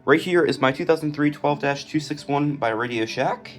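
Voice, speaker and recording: A man's voice: on the high side, normal speed, faint music behind. Casual young American man showing a collection. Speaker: collector presenting own collection. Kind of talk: casual collection showcase narration.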